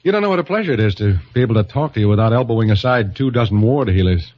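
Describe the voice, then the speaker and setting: Wry, husky-voiced suitor, vintage radio. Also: male voice, lowish voice, lively intonation, racing. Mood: smitten. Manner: wry, sarcastic. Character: wisecracking, jealous male suitor of a lady politician. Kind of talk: old-time radio comedy acting. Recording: muffled vintage radio recording.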